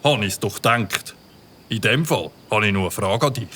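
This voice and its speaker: A man's voice: fairly low pitch, normal speed, bright, forceful tone, slight background noise. A man with a Swiss German accent voicing a stern, officious village policeman in a children's audio drama. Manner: officious, commanding.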